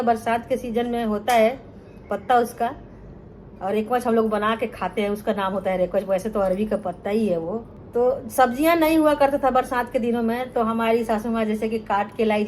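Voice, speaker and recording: A woman's voice: a little high, darkish tone, slight background noise. A middle-aged woman from Bihar, telling her life story to viewers in a chatty vlog and often calling them friends. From Bihar, India. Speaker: cooking channel host.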